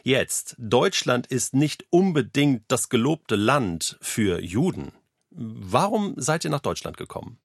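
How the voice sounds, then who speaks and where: A man's voice: mid-range voice, big pitch swings, takes it slowly, creaky, no room sound, clean studio sound. Man hosting an interview podcast. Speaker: podcast host. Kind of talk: introducing guests and interviewing. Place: studio.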